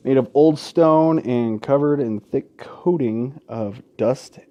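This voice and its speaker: A man's voice: mid-range voice, mellow, lo-fi sound, slight background noise. A man hosting a let's play in an informal, sweary way, mixing casual gaming commentary and asides with game text read aloud.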